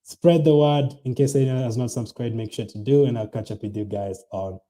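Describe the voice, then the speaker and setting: A man's voice: mid-range voice, brisk, dark tone, a little hiss or hum. A young man hosting a casual fantasy football stream, rambling with many ums and restarts, tired late at night. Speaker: fantasy football channel host. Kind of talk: casual livestream chat reading viewer comments.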